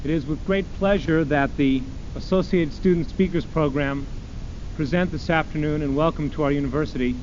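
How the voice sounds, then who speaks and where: A man's voice: a little high, normal speed, darkish tone. A man formally introducing a guest speaker at a university event, reading in a ceremonious tone. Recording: dull lo-fi archival tape with faint hiss.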